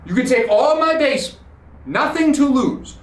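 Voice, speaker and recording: A man's voice: very high-pitched, expressive, moderate pace. A man hosting a podcast, telling a blunt, profane, sarcastic story in a ranting monologue. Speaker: podcast host. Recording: roomy echoey room.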